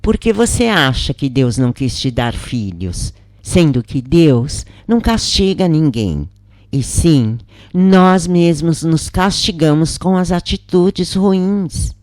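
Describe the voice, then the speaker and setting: A woman's voice: low voice, lively intonation, takes it slowly, a little mellow, no echo, studio quality, voice pushed up. A woman with a Brazilian accent voicing a devout, earnest daughter who questions and instructs her mother in a story. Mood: admonishing.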